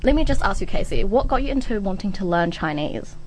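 A young woman hosting a casual student radio chat, relaxed and full of fillers. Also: mid-range voice, brisk, a little hiss or hum. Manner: relaxed, filler-heavy, laughs often. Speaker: radio host.

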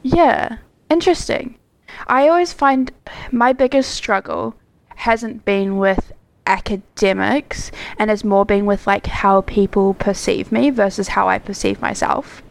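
A woman's voice: medium pitch, measured pace, gravelly. A young woman co-hosting a podcast, talking in a chatty, reflective way with plenty of "like" fillers. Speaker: podcast co-host. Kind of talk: casual chat.

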